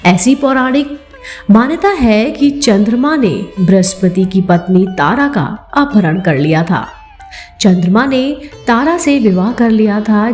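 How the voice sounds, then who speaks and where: Mellow-voiced woman narrating over soft music. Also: medium pitch. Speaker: narrator of mythological stories. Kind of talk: scripted storytelling narration. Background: background music.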